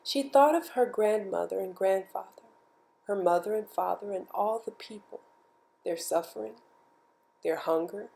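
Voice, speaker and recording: A woman reading a bedtime story aloud in an expressive, measured storytelling manner. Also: medium pitch, creaky. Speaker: storybook reader. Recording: music behind the voice. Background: music.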